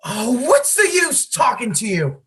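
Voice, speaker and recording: Woman playing a husky, beleaguered small-town official. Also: fairly high pitch, huge pitch swings, unhurried, full character voice. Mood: exasperated. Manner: folksy, exasperated, officious. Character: beleaguered small-town man running a solemn lottery, defensive traditionalist. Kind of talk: amateur stage-play acting over video call. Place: home, on a video call. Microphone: video call microphone.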